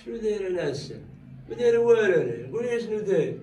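An actor playing a sly young troublemaker with a Moroccan accent, evasive and wheedling. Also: male voice, high voice, sing-song, rapid-fire, over a noisy crowd. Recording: roomy echo, mic set back.

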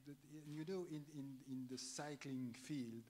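A man's voice: mid-range voice, unhurried, dark tone. A man moderating a conference panel, posing questions while stumbling and repeating words. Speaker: session moderator.

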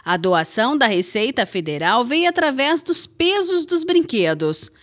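A Brazilian woman reads a local news report in a high, lively voice. Her pitch moves a lot as she narrates. The delivery is scripted and clear. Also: measured pace. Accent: Brazilian Portuguese.

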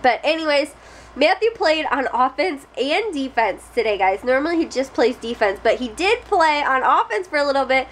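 A young woman chats to a close camera in a small bedroom. Her voice sits a little high, and she rambles along with fillers and restarts. A faint hiss sits under her speech. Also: big pitch swings, moderate pace, a bit bright. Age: young adult. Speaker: family vlogger. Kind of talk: casual chat to camera. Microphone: close camera at face height.

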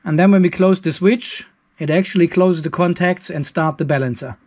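A man's voice: a little high, moderate pace, darkish tone. An older man with a German accent, narrating hands-on work casually and informally as he thinks aloud in a garage workshop, with some self-deprecation. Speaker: DIY video host.

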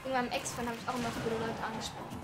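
A woman's voice: on the high side, flat delivery, rapid-fire, very noisy. A young woman chatting casually on a gaming stream, rambling with lots of fillers, speaking into a headset. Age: young adult. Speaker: streamer's girlfriend, co-host. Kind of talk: casual chat while gaming. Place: indoor room, streaming setup. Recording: game music loud underneath. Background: loud game music.

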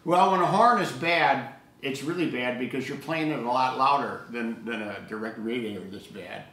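An older man in casual hobby discussion as co-host, speaking in a halting, anecdotal way. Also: mid-range voice, a bit bright, slight background noise. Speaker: co-host of an audio hobby show. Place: living room table indoors. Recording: echoey room sound.

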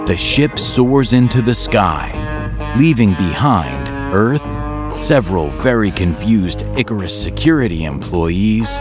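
A man narrating a scripted audio drama as a dramatic storyteller who addresses listeners directly. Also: medium pitch. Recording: music bed under narration. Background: guitar music.

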